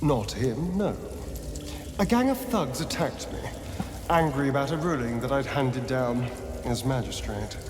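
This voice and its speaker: A man's voice: mid-range voice, lively intonation, natural voice. A suave vampire spawn from a fantasy game , played by a man, with music behind him in a reverberant space. His speech swoops dramatically, arch and bitter, lingering on key words as if centuries of resentment sit under the polish. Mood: bitter, brooding. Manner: theatrical, arch, drawn-out emphatic phrasing. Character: suave, bitter male vampire spawn, two centuries old, former magistrate. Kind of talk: theatrical video game dialogue.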